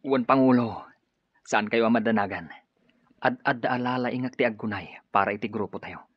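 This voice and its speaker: A man's voice: mid-range voice, normal speed, breathy edge, no echo, close to an everyday voice. A man playing an eager young rebel, loyal and deferential, in an Ilocano radio drama. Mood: eager, respectful. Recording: dull, lo-fi radio recording.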